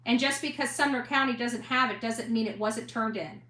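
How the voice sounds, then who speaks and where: A woman with a bright, forceful and fairly high voice speaks in a blunt, defiant monologue to her followers. She stresses her points like a reporter standing her ground. The recording has a noticeable roomy echo. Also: moderate pace. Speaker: online investigative reporter and host. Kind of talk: impassioned monologue to online audience.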